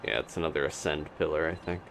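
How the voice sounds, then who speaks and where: A man's voice: rumbling low, steady tone, fast, vocal fry, a bit bright, a little hiss or hum. A man doing casual live commentary on a video game as he plays, thinking aloud. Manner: thinking aloud, talking to himself and his game character.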